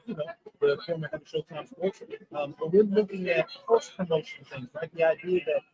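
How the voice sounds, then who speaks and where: A man's voice: fairly high pitch, a little fast, a little mellow, rough recording. A man interviewing a boxing guest, asking long rambling questions full of fillers. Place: busy press event hall.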